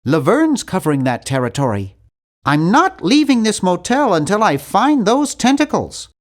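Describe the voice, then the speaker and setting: A man's voice: sits high, very animated, moderate pace. A man voicing an earnest, timid young male nerd in an adventure video game, with a precise, fussy delivery. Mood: curious, matter-of-fact. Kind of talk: animated adventure game voice acting.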